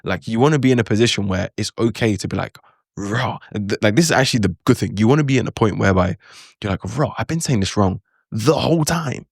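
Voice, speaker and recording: A young man talks casually about learning a language, chatty and animated, acting out his own reactions. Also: fairly low pitch, fast, breathy edge, no room sound, clean studio sound. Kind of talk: casual talking-head advice.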